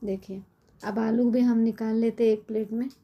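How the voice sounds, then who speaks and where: A middle-aged woman with a hoarse, cold-worn voice, chatting casually as she explains a home recipe step by step in her kitchen. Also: a little high, fairly even intonation, normal speed, warm tone. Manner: chatty, explains step by step with frequent tag questions. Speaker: cooking channel host. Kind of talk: casual cooking tutorial.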